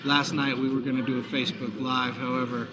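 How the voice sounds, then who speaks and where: A man with a hoarse voice hosting a live sports talk show, chatty and promotional, from a busy bar. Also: medium pitch, level tone, fairly quick. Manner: chatty, promotional, frequent fillers. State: hoarse, recovering lost voice. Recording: noisy bar crowd behind. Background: crowd chatter.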